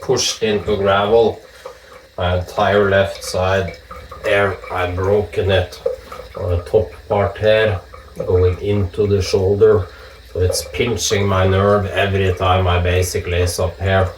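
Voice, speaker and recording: A man with a Norwegian accent chatting casually while he shaves, joking and swearing in relaxed asides. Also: low voice, a little slow, a little hiss or hum. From Norway. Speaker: vlogger shaving with a straight razor. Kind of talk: casual talk while shaving. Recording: echoey room with dripping water.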